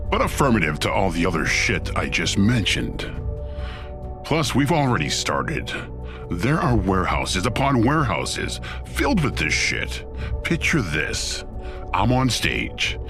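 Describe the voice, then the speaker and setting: An actor playing a smug, flippant Satan in an audio drama, glib and slangy like a scheming boss. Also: male voice, on the low side, huge pitch swings, slow, music in the background, exaggerated voice. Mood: gleeful, grandiose.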